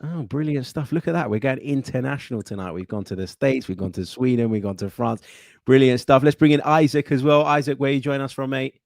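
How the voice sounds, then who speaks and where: A man hosting a football call-in podcast, brisk and chatty. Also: mid-range voice, creaky, darkish tone, no echo, clean studio sound. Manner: brisk, chatty, calls people mate. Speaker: podcast host taking callers. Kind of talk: casual call-in football chat.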